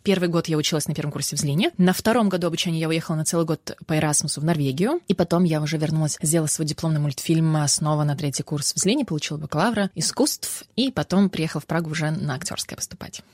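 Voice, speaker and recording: A woman's voice: deep voice, fast, few pauses, a little mellow, no echo, studio quality. A woman from Russia in her thirties, answering interview questions at length in a reflective, talkative way.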